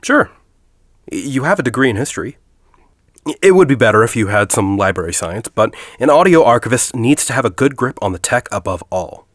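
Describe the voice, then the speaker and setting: A man playing a composed, persuasive university headmaster in an audio drama, speaking in a formal, deliberate way. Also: medium pitch, big pitch swings, no echo, clean studio sound. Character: composed, persuasive university headmaster, grieving a colleague, quietly calculating.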